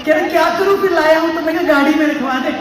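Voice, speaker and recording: A woman's voice: very high-pitched, quick pace. A woman giving a chatty, anecdotal speech at a ceremony, raising her voice for punchlines. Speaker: political speaker. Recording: echoey hall, mic from far off.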